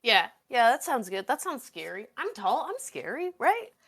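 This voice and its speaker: Roleplay engineer: tall, defensive, a bit hesitant, lively swings in pitch, played by a woman. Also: normal speed, slightly rough, exaggerated voice. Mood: uneasy. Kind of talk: casual improvised tabletop roleplay.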